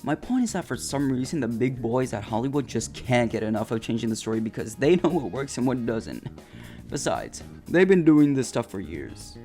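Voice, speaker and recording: A man's voice: mid-range voice, big pitch swings, normal speed, mellow. A man delivering casual, scripted comedic commentary for a video essay, with a flippant, slangy, jokey manner. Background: upbeat video game music.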